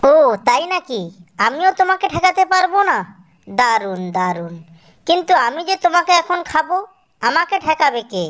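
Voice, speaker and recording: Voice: high-pitched, sing-song, normal speed, a bit bright, voice pushed up. A performer voicing a hungry, foolish cartoon fox who boasts and makes threats. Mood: menacing, boastful. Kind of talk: children's cartoon character voice.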